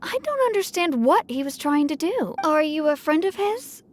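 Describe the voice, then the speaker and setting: Earnest, very high-voiced anxious mother. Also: lively intonation, normal speed. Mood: puzzled. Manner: polite, earnest. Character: anxious, grateful, polite young mother of missing boy. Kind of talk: video game voice acting.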